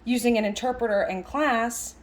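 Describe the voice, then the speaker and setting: Higher-pitched woman interpreting a lecture. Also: moderate pace. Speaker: sign language interpreter voicing a signed lecture. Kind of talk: interpreted lecture, spoken conversationally.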